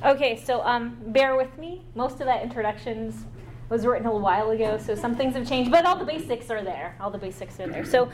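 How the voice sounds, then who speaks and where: A woman guest lecturer giving an academic talk, partly read aloud, halting at times with fillers and restarts. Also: fairly high pitch, noisy background.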